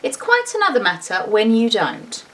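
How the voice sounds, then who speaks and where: A woman's voice: on the high side, huge pitch swings, moderate pace, fairly bright tone. A woman reading a storybook to children expressively, with chatty, informal asides as she shows the pictures. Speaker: school teacher reading a storybook aloud. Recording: distant mic with faint background noise.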